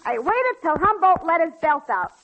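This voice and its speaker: Very high-pitched jilted shop girl. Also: normal speed, phone-quality sound. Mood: aggrieved. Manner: slangy, plain-spoken, deadpan storytelling. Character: jilted, gabby working-class shop girl, comically aggrieved. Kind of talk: 1940s radio comedy sketch acting. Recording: noisy vintage 1940s radio broadcast.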